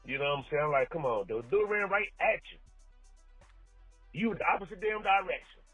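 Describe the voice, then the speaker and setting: Male sports fan, raspy and on the higher side, animated street-casual rant, muffled recording over faint music. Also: fairly quick, dry recording. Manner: animated, exclaiming, colloquial. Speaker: sports fan leaving a voicemail. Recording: muffled voicemail, music behind.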